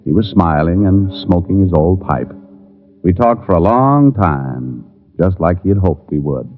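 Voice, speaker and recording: A low private eye narrating, old radio drama, dull echoey recording. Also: male voice, animated, unhurried. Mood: reflective. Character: male private detective narrating his case. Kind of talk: 1950s radio drama acting. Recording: dull echoing vintage radio, music behind.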